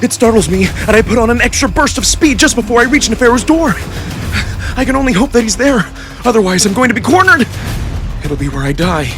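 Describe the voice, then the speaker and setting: A man narrating a first-person story in a theatrical, expressive, scripted style. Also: very high-pitched, brisk, faint music behind. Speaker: narrator and voice actor.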